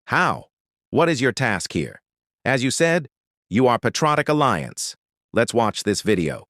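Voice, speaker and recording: A man's voice: mid-range voice, sing-song, unhurried, a bit bright, dry recording. Confrontational male news voice, dry. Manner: confrontational, rhetorical questions. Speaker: news presenter. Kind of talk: scripted news commentary.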